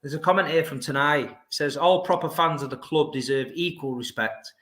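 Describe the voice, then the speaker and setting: A man with a bright, forceful voice hosts a relaxed football podcast. He keeps his questions simple and direct and speaks a little high for a man. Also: brisk. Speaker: podcast host. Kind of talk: casual podcast chat.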